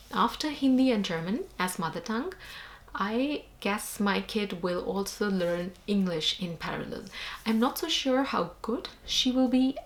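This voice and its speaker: A young woman from India with an Indian accent, explaining things earnestly to camera in a casual vlog. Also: medium pitch. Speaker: vlogger.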